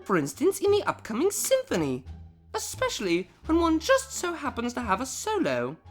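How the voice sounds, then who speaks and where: A woman's voice: high-pitched, huge pitch swings, moderate pace, soft background music, full character voice. A woman playing a prim, formal female pony cellist with precise, drily menacing speech in a scripted comedy audio drama. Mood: icy composure.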